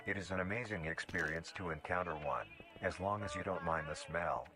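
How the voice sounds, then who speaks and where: A man reading scripted narration in the style of a nature documentary, formal, measured and matter-of-fact. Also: low voice. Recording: music behind the voice. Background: clearly audible music.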